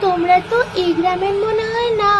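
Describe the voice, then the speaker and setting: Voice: extremely high pitch, steady tone, a little fast, background noise. A performer voicing a mysterious, playful little village girl in a children's cartoon, with a coaxing, childlike manner. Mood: friendly, curious. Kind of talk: children's animated horror cartoon dialogue. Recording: music behind the voice.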